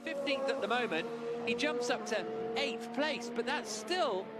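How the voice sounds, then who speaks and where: A man giving live motor racing commentary in an excited running style with hesitant pauses, racing engines behind him. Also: extremely high pitch, over music. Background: racing car engines.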